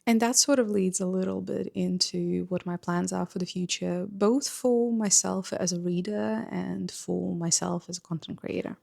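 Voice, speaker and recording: A woman's voice: lowish voice, fairly even intonation, vocal fry, mellow, no echo, clean studio sound. A woman in her thirties whose first language is Russian, chatting earnestly to camera in a home room about her book collection. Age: 30s. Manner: chatty and earnest, with some filler pauses. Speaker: book vlogger. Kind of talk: casual vlog talk to camera. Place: small home room with bookshelves, indoors.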